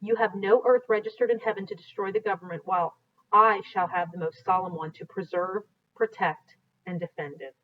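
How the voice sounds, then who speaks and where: A woman's voice: mid-range voice, a little fast, a little hiss or hum. American woman reading aloud, dull recording. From United States. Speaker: teacher reading aloud to students. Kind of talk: read-aloud of a history book with explanatory asides. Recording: dull, lo-fi sound.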